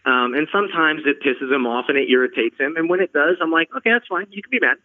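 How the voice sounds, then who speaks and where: Talkative middle-aged host on a thin line. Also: male voice, a little high, fast talker, no room sound, phone-quality sound. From Los Angeles, California. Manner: talkative, earnest, repeats words when starting sentences. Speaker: podcast host. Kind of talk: casual conversational chat.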